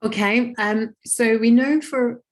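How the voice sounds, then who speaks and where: A woman whose first language is Dutch, answering audience questions on a video call in a thoughtful, explanatory way with frequent pauses. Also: on the high side, fairly even intonation. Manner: thoughtful, many "um" pauses, lists examples. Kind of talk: webinar Q&A, explaining.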